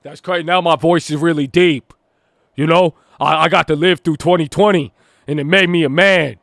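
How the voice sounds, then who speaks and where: A man joking in a put-on deep voice, playing a boastful grown-up version of himself. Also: fairly even intonation, moderate pace, creaky, a bit bright, no room sound, studio quality. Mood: mock swagger.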